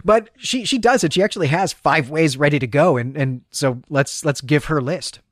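A man's voice: a little high, moderate pace, a bit bright, dry recording. Man recapping fiction, very dry close sound. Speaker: podcast host. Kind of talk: conversational literary recap with read-aloud passages.

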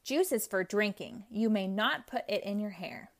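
A woman reading a storybook aloud, voicing a patient, sensible mother in a calm, matter-of-fact way. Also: medium pitch, normal speed, natural voice. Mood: firm.

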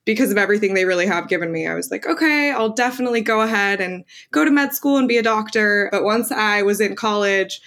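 A woman's voice: medium pitch, quick pace, hardly any pauses, a bit bright. A woman in her twenties whose first language is Armenian, answering interview questions candidly as a young lawyer. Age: 20s. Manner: candid, earnest, with fillers. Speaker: guest lawyer.

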